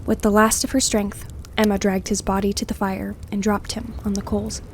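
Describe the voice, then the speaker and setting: A woman narrating scripted fiction in an expressive, suspenseful, dramatic way. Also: medium pitch, moderate pace, a little hiss or hum. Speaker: story narrator.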